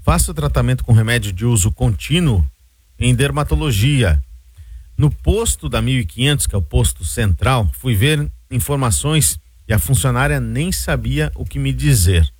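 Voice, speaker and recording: A man's voice: mid-range voice, expressive, normal speed, breathy edge, no room sound. A man with a Brazilian accent co-hosting a radio show, reading out listener messages in a casual way. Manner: casual, reads messages aloud. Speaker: radio co-host.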